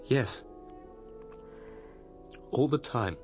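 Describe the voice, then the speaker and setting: A man's voice: medium pitch, expressive, very slow, faint music behind. An actor in a radio drama playing a restless, disillusioned, impulsive young male composer. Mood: hurt, tense.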